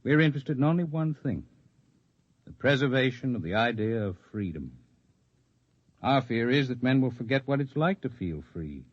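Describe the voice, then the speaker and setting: An actor playing a composed, articulate leader of an underground freedom movement in 1950s radio drama style, speaking in measured, formal, persuasive speeches. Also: male voice, medium pitch, animated, no echo, natural voice. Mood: earnest, idealistic. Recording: dull lo-fi vintage radio recording.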